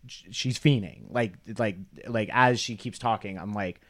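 A man's voice: on the low side, normal speed, a bit bright. A young man, a comedian, telling a rambling, wry story in casual podcast chat. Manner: rambling, wry, trails off into quoted asides. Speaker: comedian guest.

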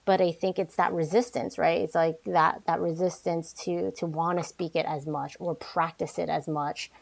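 Chatty young woman, lowish voice. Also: moderate pace, creaky. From New York. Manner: frequent restarts and "like", animated asides. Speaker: podcast guest. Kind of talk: casual interview conversation.

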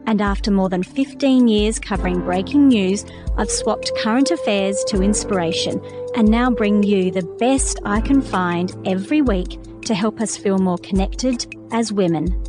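A woman podcast host reading a polished, scripted show introduction. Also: mid-range voice. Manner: polished, measured delivery. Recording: intro read over clear music. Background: music.

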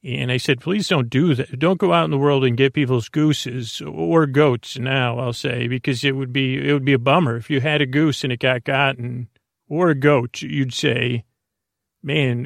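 Gravelly, creaky man rambling along. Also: medium pitch, fairly even intonation, dry recording, studio quality. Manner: halting, digressive, repeats and trails off. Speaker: host of a bedtime sleep podcast. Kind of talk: rambling, meandering monologue.

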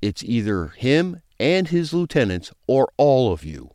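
An actor playing a smooth-talking, pushy lawman who strikes deals, in a crime audio drama. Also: medium pitch, very animated, a little slow, darkish tone, no echo, studio quality. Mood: persuasive.

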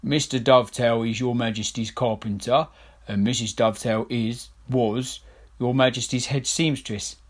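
A man's voice: medium pitch, steady tone, moderate pace, close to an everyday voice. A man voicing a nervous, loyal army major who speaks hesitantly and deferentially.

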